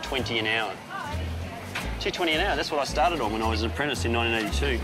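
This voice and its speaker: A man's voice: mid-range voice, lively intonation, fast talker, music in the background. A man in his forties chatting at an outdoor market stall, enthusiastic and self-deprecating. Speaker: farmer selling at a market stall. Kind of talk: casual on-location chat.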